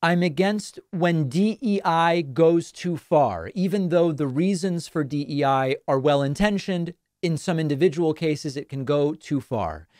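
A man in his thirties hosting a political call-in show from a studio, explaining his views in a measured, methodical way. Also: fairly high pitch, a little mellow, dry recording. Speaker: host. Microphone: studio broadcast mic.

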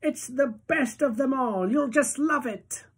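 A reader voicing an eager elderly grandfather in a storybook, with a raised old voice and drawn-out words. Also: sits high, slightly rough, pitched above the performer's own voice, full character voice. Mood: enthusiastic.

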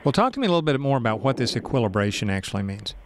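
A man's voice: mid-range voice, sing-song, very fast, background noise. A man hosting a radio interview, asking curious questions and restating points for his listeners. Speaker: radio show host.

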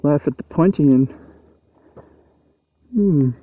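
A man's voice: a little high, slow pace, dry recording, phone-quality sound. A man, talking casually to the camera while out on a motorcycle, musing aloud with fillers. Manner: chatty, offhand musing with fillers. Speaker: motovlogger. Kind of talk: casual vlog narration while riding. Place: outdoors on a motorcycle and at an open site. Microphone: helmet or action-camera mic. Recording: wind and engine noise.

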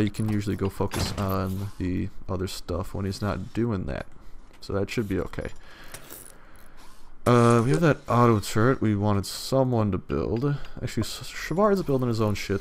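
Young male let's-player, a bit hoarse, lowish voice, rambly and casual, noisy recording. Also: normal speed. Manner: loose, rambling, swears casually. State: slightly hoarse. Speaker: let's play gamer. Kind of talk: casual gameplay commentary.

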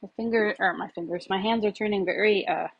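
Thirties woman vlogging in her kitchen, slightly breathy, loose and chatty with fillers, phone on the counter. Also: medium pitch, steady tone, brisk, muffled recording. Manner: chatty, rambling, many fillers. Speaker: home cook vlogger. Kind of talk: casual cooking demo. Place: home kitchen, indoors. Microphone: phone camera on the counter.

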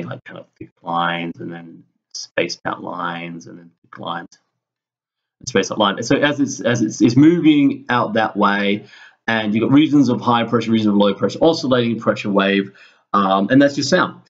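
A man teaching an informal screen-recorded lesson, explaining step by step in a halting way and thinking aloud. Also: lowish voice, highs cut off. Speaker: physics teacher. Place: indoors, screen-recorded voice-over at a computer.

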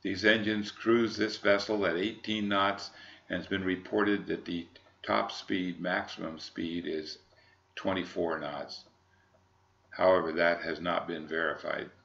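An American man, middle-aged or older, giving an unscripted sales walkthrough in a halting, measured, formal manner. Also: low voice, fairly even intonation, creaky, a bit bright, lo-fi sound. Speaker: yacht broker presenting a boat.

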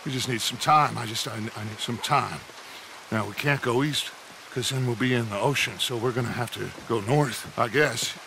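An actor playing a proud, fraying middle-aged American outlaw leader in a video game, commanding and theatrical. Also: male voice, medium pitch, normal speed, creaky, noisy background, natural voice. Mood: strained. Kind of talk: dramatic video game acting.

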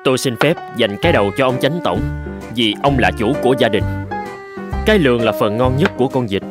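An actor playing a poor, gentle peasant father, polite and earnest, in an animated folk tale. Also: mid-range voice, fairly quick, music in the background, close to an everyday voice. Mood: humble, polite.

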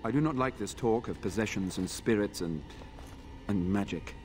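A man's voice: on the low side, moderate pace, darkish tone, a little hiss or hum, close to an everyday voice. A man playing a superstitious, uneasy knight in a fantasy video game, stern and formal in speech.

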